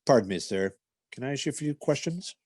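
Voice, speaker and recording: A man playing a deadpan, clipped police detective narrating his case in a 1950s radio parody style. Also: medium pitch, a little fast, noisy background, natural voice. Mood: terse, matter-of-fact.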